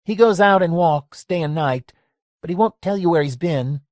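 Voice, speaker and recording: A man's voice: a little high, normal speed, a little mellow, no room sound, pitched above the performer's own voice, lightly acted. A folksy, wary hangout owner , voiced by a man reading an audiobook, talks in a voice pushed well above the reader's own. The recording is close and dry. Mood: wistful.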